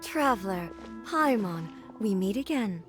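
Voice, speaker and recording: A woman's voice: medium pitch, lively intonation, a little slow, over music, close to an everyday voice. A woman playing a dignified, earnest thunder goddess and ruler in a video game, formal and composed. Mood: gracious.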